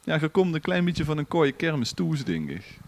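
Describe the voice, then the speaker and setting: A man's voice: a little high, very animated, normal speed. A man with a Limburgish Dutch accent hosting a casual podcast, chatting in a jokey way. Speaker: podcast host. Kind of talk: casual banter and chat.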